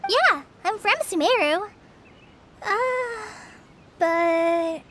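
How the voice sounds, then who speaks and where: A woman's voice: extremely high pitch, lively intonation, drawn-out words, pushed and bright, a little hiss or hum, heavily acted. A woman voicing an earnest, eager young trainee forest ranger in a video game, with a light youthful voice. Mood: hesitant. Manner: earnest, helpful, explanatory. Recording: music behind the voice.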